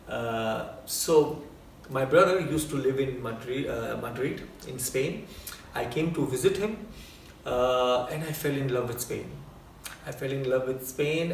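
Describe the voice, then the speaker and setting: A middle-aged Indian man with an Indian accent, a dancer and choreographer telling his story in an unhurried way with frequent fillers. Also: mid-range voice. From India (Bombay). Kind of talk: relaxed personal storytelling. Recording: roomy, distant mic with faint noise.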